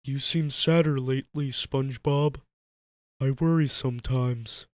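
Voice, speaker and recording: A man's voice: mid-range voice, fairly even intonation, a little slow, no room sound, voice pushed up, lightly acted. Cartoon starfish best friend played by a man: exasperated but caring, plaintive, voice pushed upward. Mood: concerned. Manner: plaintive, reproachful. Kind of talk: dramatic fan fiction reading.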